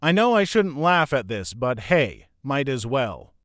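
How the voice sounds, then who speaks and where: A man reading forum posts and replies aloud in a steady, even narration. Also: medium pitch, unhurried, a little hiss or hum. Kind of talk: scripted narration.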